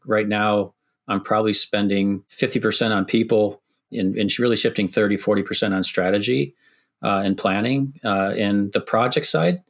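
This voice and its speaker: A middle-aged man with a Midwestern American accent speaks in a fairly low voice. He answers podcast questions thoughtfully, often restarting his sentences. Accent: Midwestern American, softened by years in Canada. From Wisconsin, USA. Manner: thoughtful, many restarts and fillers. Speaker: construction executive guest.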